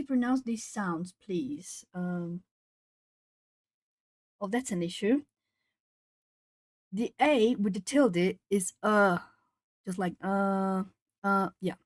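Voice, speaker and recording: A woman's voice: medium pitch, a little mellow, dry recording. Brazilian woman chatting on an art stream. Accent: southern Brazilian Portuguese. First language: Portuguese. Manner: chatty, reads chat comments aloud, pauses to think. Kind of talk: casual chat while colouring.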